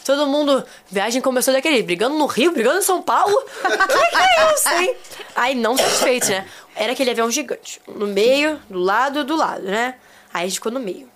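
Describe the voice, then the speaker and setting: A young Brazilian woman, a podcast guest, telling a travel story in casual chat, animated and laughing, repeating phrases for emphasis. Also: high-pitched, brisk, a little hiss or hum. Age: young adult.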